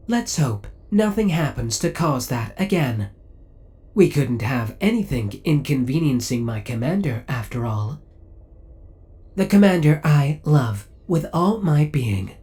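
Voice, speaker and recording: A man's voice: on the high side, darkish tone. A man playing a possessive, coolly obsessive male spaceship AI in an ASMR audio roleplay, speaking with formal, precise, robotic politeness. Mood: smug, devoted.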